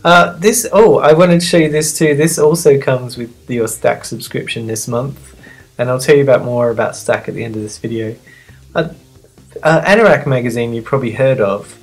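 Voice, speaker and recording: A sniffly magazine reviewer of medium-pitched voice chatting casually off the cuff, rambling, playful and self-deprecating. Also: normal speed, soft background music. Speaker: magazine reviewer and video host.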